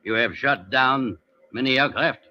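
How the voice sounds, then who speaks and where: Coolly menacing ex-soldier, muffled recording. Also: male voice, mid-range voice, sharp tone. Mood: probing, condescending. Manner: formal, measured, probing. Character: coolly menacing German ex-soldier, formal and condescending. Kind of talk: old-time radio drama acting. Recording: muffled old radio show recording.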